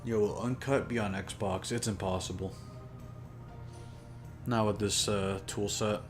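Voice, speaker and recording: A man with a deep, low voice, chatting casually while modding on a live stream, talking to himself and sounding tired and flat. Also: unhurried. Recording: music playing behind.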